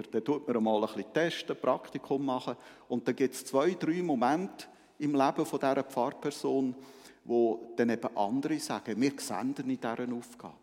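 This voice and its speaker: A man's voice: medium pitch, a little mellow. A man giving a conversational sermon as a preacher, explaining things and often checking in with short tag questions. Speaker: Methodist preacher. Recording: echoey hall with long reverb.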